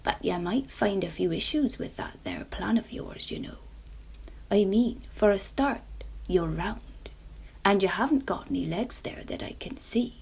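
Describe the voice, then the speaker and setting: A woman playing an older country man with a slow, rustic drawl. He is doubtful but kind, and his voice has a soft breathy edge. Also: medium pitch, expressive, whispered, full character voice, rough recording. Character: folksy older male gardener, doubtful.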